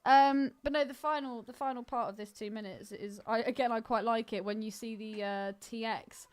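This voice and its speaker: A woman's voice: on the high side, steady tone, normal speed, smooth. A British woman co-hosting a film podcast, chatting casually with irreverent, sweary banter. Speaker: podcast co-host.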